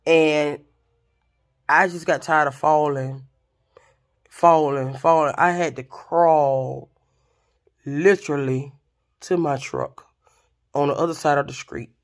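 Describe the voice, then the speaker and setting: Raspy-voiced chatty woman. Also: low voice, slow, long pauses. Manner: chatty, expressive, addresses viewers directly. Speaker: vlogger. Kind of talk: casual storytelling to camera.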